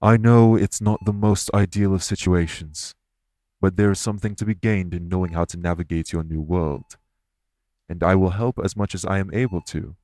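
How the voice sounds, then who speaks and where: A man playing a courteous former king turned fae official in an audio roleplay, speaking formally and with measured reassurance. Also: low voice, fairly even intonation, a little mellow, no room sound, natural voice. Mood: earnest, apologetic. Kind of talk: audio roleplay spoken to the listener.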